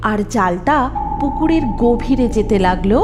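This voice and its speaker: A woman's voice: a little high, lively intonation, normal speed, music in the background. A woman narrating a scripted cartoon story as the storyteller.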